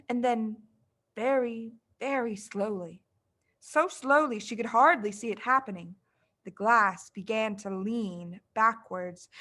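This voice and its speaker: A woman reading a children's storybook aloud as dramatic narration, with deliberate, suspenseful phrasing. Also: on the high side, sharp tone. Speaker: audiobook reader.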